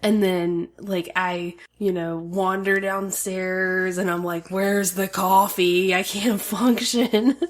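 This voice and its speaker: A woman in her thirties co-hosting a relaxed chat show, her voice stuffy from a cold and her throat a little sore. She laughs easily and teases with quick asides. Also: medium pitch. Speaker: podcast co-host. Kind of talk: casual chat.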